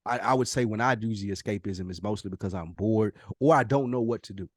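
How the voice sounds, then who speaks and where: A man's voice: fairly low pitch, a little fast, no echo, studio quality. A man co-hosting a podcast in casual chat, speaking candidly and informally with plenty of slang. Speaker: podcast co-host.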